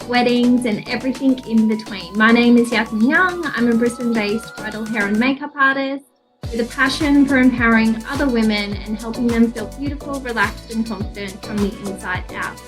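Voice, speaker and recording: An Australian woman hosts a podcast in a friendly interview style. Her voice sits fairly high for a woman, and there is some music behind her. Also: fairly quick. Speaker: podcast host. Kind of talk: friendly interview with a scripted introduction.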